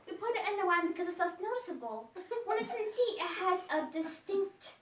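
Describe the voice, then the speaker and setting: Elderly stage-comedy aunt played by a woman: sweet, placid, genteel, high and lilting, recorded in an echoey room. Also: expressive, brisk. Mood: matter-of-fact. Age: older. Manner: genteel, placid, fussily polite. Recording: echoey room, far mic, faint noise.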